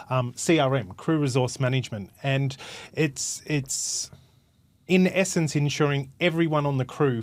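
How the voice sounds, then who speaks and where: A man's voice: medium pitch, darkish tone, dry recording. A man, a former cabin crew member co-hosting a podcast, explaining safety ideas earnestly with analogies. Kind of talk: casual discussion.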